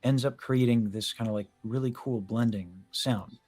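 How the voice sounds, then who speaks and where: A young man breaks down singing technique in a relaxed, analytical chat. His pitch sits in the middle for a man. Faint music plays under his voice. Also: normal speed, husky. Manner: analytical, explains vocal technique in detail. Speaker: host, vocal coach. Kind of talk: casual video-call chat about singing.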